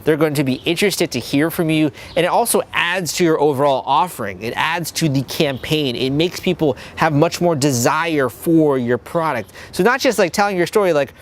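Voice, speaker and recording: A young man hosts his own channel, explaining ideas in a loose, conversational flow. His voice sits a little high for a man, and he keeps an upbeat, chatty tone throughout. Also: moderate pace, hardly any pauses. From El Salvador, grew up in the US. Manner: upbeat, conversational, lots of "like" and "you know". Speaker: crowdfunding coach and channel host. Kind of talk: casual talking-to-camera advice video.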